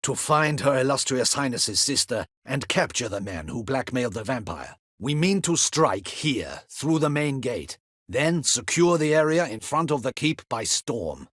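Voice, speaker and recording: An actor playing a stern, dutiful captain of a ducal guard in a fantasy video game, with formal, clipped, courtly military diction. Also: male voice, medium pitch, sing-song, unhurried, a bit bright, no echo, clean studio sound. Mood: grave, businesslike.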